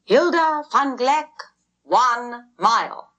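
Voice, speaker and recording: A woman voicing a male town crier in a storybook, calling out in loud, drawn-out announcements. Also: fairly high pitch, animated, muffled recording, pitched above the performer's own voice. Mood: ringing, proclaiming. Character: proud male race crier bellowing winners' names.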